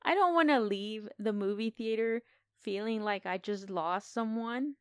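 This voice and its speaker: Woman, podcast co-host, smooth clear voice, close dry sound, casual and enthusiastic, expressive storytelling. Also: on the high side, unhurried, no echo. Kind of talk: casual film discussion.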